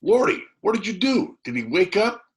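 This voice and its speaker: Man doing a nervous country kid sidekick in a storybook, high voice, folksy and wide-eyed, asking stuff. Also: big pitch swings, normal speed. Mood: alarmed, curious. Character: anxious, curious rustic boy, Tom's sidekick Huck. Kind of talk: dramatised storybook reading.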